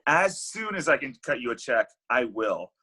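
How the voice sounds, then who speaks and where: A needy, indignant underdog matchmaker acted by a man in a comedy script. The voice is husky and forceful, swinging widely in pitch, with an emphatic, plaintive delivery. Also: sing-song, normal speed, exaggerated voice. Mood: apologetic. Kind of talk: comedy script table read.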